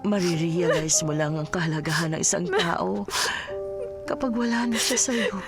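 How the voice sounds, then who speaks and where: A woman's voice: on the low side, moderate pace, music in the background, lightly acted. An actress playing a loving middle-aged mother earnestly counselling a daughter in a Filipino radio drama, with a Filipino accent. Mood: consoling, emotional. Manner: earnest, emotional, motherly advising.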